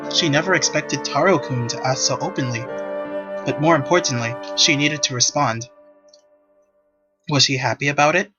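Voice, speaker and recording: A man's voice: medium pitch, lo-fi sound. Man narrating a story over music. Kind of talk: scripted story narration for a visual novel.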